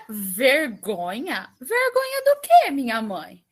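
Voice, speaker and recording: Woman acting a high-voiced, defiant young princess. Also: huge pitch swings, extremely slow, full character voice. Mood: mocking. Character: defiant, melodramatic young princess, sassy lovestruck daughter. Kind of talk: comedic audio drama acting.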